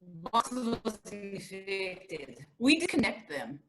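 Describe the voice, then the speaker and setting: A woman's voice: medium pitch, sing-song, takes it slowly, fairly bright tone, faint music behind, exaggerated voice, rough recording. A woman playing a terse, odd follower who talks in clipped, blunt sentences in a comedic audio drama.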